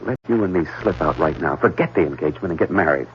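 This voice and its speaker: A wild, dangerous war-veteran twin in a vintage radio thriller. His voice sits low, with an unstable, hard-drinking edge. The old recording is dull, with heavy noise. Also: lively intonation, quick pace. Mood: smooth, coaxing. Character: wild, brutal, drunken war-veteran twin brother, suave then volatile. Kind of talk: old-time radio drama acting.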